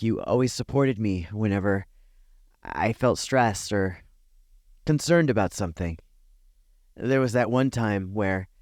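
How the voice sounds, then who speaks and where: A man playing a tender, nervous best friend confessing a crush, speaking softly, hesitantly and earnestly in an intimate ASMR roleplay. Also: medium pitch, dry recording, studio quality, lightly acted. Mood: tender, admiring. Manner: soft-spoken, hesitant, earnest.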